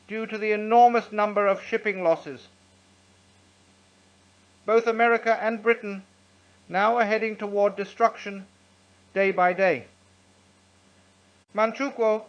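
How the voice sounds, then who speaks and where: A dull, lo-fi recording carries a man's notably high voice. He delivers a scripted political address in a formal, declamatory way, as a wartime speech read aloud. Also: fairly bright tone, clear, resonant tone. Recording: dull lo-fi sound.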